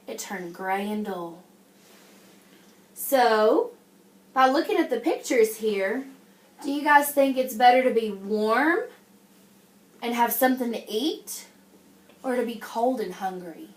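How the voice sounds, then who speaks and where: Female primary teacher, high-pitched, interactive read-aloud, encouraging and checking in often, recorded in an echoey room. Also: slow pace, long pauses. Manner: encouraging, addresses the class as guys, frequent check-in questions. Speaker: teacher reading a picture book to young children. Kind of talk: interactive classroom read-aloud with questions and praise.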